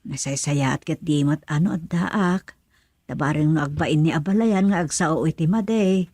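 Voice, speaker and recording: A woman playing a gentle, supportive older mother and grandmother in a radio drama. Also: low voice, moderate pace, no room sound, clean studio sound. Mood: gentle, concerned.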